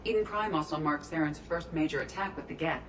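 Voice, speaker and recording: EDI, a clinical ship AI. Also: female voice, deep voice, fairly quick, slight background noise. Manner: even, analytical, precise. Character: female ship AI, polite and clinical.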